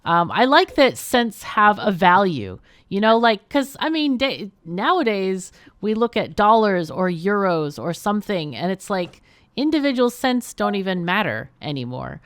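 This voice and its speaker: A woman's voice: medium pitch, very animated, a little slow, smooth, dry recording, clean studio sound. A woman hosting a game livestream, chatting casually and thinking aloud as she plays. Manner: thinks aloud while playing. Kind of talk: casual gameplay chat.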